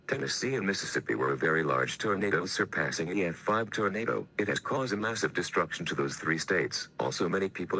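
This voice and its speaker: A man's voice: sits low, vocal fry, bright, forceful tone, slight background noise, low-quality recording. A man reading scripted news and emergency bulletins as an announcer, formal and stiff.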